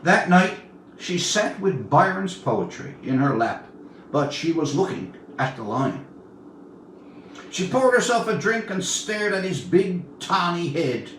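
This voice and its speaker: A man in his seventies from Newfoundland reads his own literary tale aloud as its author, in a measured, expressive storytelling manner with dramatic pauses. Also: high voice. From Newfoundland, Canada. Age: 70s. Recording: roomy, distant mic, faint background noise.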